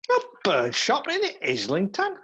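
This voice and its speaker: An actor playing an earnest detective sergeant in a radio play, reporting deferentially to a superior. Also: male voice, high voice, huge pitch swings, unhurried, pushed and bright, breathy edge, exaggerated voice. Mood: earnest, dutiful.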